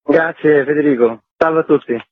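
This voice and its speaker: A man's voice: a little high, moderate pace, husky. A man giving explanatory answers as an interview guest, a festival's artistic director. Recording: tinny phone-like line, roomy echo.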